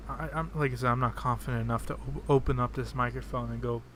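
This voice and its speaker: Casual male streamer, soft and hesitant, lots of "uh", mid pitch, home desk mic. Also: steady tone, fast, a little hiss or hum. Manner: soft-spoken, hesitant, many fillers. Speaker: game streamer. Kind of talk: casual live-stream chat while playing. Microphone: desk microphone on a computer.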